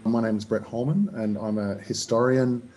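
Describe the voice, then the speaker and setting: Australian male historian, dark mellow voice, hesitant and full of fillers. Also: mid-range voice, steady tone, normal speed, faint music behind. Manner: hesitant, many ums and restarts. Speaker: historian guest. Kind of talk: explaining in an interview.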